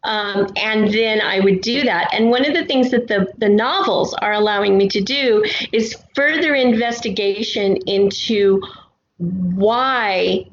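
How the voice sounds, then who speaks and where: A woman's voice: medium pitch, a little slow. A woman speaking as an interview guest, explaining her ideas casually and with assurance. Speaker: guest, audio drama writer. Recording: roomy, dull, noisy remote connection.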